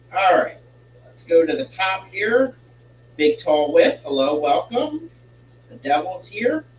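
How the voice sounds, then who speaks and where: A man hosting a casual live stream from home, rambling while he fixes settings, sounding congested and a bit stuffy. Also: on the high side. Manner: slow, rambling, fiddles with settings while talking. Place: home room with a fish tank. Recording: roomy, distant, dull home stream with hum.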